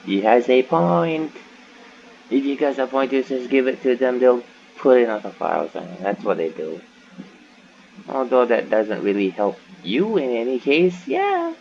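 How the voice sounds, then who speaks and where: A man's voice: mid-range voice, big pitch swings, a little slow, creaky, slight background noise. A man casually commenting while playing a video game, rambling and muttering to himself. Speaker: gaming channel host. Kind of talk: casual let's-play commentary. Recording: dull lo-fi mic.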